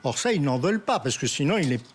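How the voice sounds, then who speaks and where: A male analyst comments on politics without a script, in a somewhat high-pitched voice. He speaks haltingly, searching for words and repeating parts of his phrases. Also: big pitch swings, breathy edge. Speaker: studio guest analyst.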